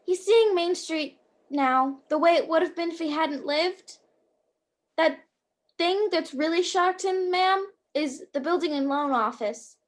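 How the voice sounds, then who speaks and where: A woman playing a gentle, dutiful guardian angel in an amateur radio play. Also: extremely high pitch, unhurried. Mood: concerned.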